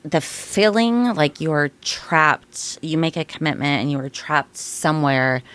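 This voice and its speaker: A woman's voice: sits low, a little slow, a bit bright, clear, resonant tone, dry recording. A woman from Illinois with a youthful, almost babyish voice, speaking candidly as a guest in a podcast studio interview, retelling her story with many restarts. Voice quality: young-sounding, babyish voice. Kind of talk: casual interview chat.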